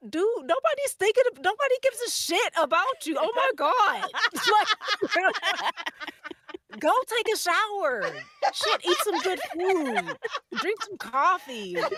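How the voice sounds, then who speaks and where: A woman's voice: sits high, pushed and bright. A woman on a podcast panel chatting informally, shifting between tentative phrasing and emphatic points. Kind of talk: casual chat.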